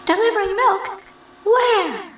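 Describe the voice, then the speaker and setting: A man doing an eager, excitable young boy. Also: very high voice, slow pace, voice pushed up, full character voice. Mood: curious. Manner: excitable, exclamatory.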